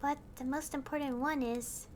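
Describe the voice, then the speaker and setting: A woman's voice: high-pitched, steady tone, moderate pace, slight background noise, lightly acted. An actress playing a curious, thoughtful young female traveler who asks questions and muses to herself. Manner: thoughtful, inquisitive.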